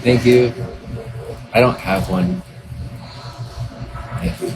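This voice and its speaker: A man's voice: medium pitch, steady tone, slow, noisy background. A man chatting on a livestream, earnest and rambling, reading and answering viewers. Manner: rambling, earnest, many restarts. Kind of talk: casual livestream chat.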